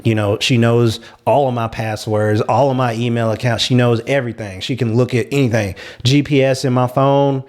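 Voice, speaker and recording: A man's voice: mid-range voice, fairly even intonation, normal speed, few pauses, a little mellow. A man hosting a talk show discussion in a conversational style, probing with follow-up questions.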